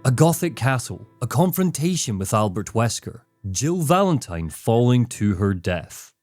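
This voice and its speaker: A man's voice: medium pitch, sing-song, unhurried, darkish tone, no echo. A man delivering scripted narration for a critical video essay, with dramatic, emphatic phrasing. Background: background music, guitar.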